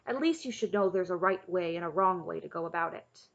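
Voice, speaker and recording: A woman's voice: fairly low pitch, brisk, dull audio, close to an everyday voice. A woman reading a story, voicing a stout old smith, a practical mentor who instructs bluntly. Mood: brisk, ordering.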